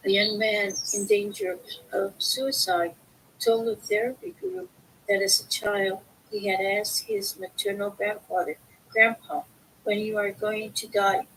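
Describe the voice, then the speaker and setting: A woman reading book excerpts aloud, with halting, deliberate phrasing. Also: rough recording. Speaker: reader of a book. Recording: faint background noise, low rumble.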